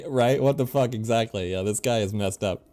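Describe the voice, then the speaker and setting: A man streaming a video game, thinking aloud in easygoing casual chat and reading game text out loud. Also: medium pitch, moderate pace.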